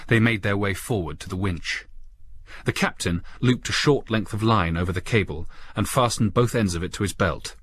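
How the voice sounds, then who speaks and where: A man reading a suspenseful story as an audiobook narrator, in a measured, grave, dramatic storytelling style. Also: on the low side, a bit bright, breathy edge.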